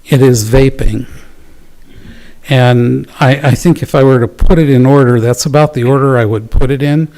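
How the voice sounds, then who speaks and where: A man's voice: medium pitch, steady tone, mellow, poor audio. Earnest man speaking at a council podium. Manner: measured, earnest, pauses with fillers. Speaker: youth program presenter. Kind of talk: public meeting testimony. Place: town council chamber.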